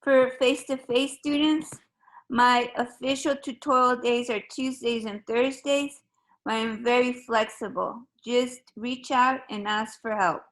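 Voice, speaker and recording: A woman teacher from Ecuador presents her classes at a slow, deliberate pace. Her voice sits a little high, and she talks formally, pausing with an occasional um. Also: steady tone, poor audio. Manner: slow, measured and formal, with frequent ums. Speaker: high school Spanish teacher. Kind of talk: recorded open house presentation.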